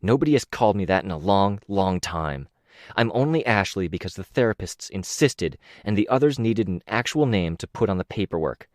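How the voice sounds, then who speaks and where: A man's voice: fairly low pitch, lively intonation, fairly quick, darkish tone, dry recording, studio quality, natural voice. A man voicing a hard, threatening young woman in an audiobook. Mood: menacing. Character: cold menacing young woman, former killer.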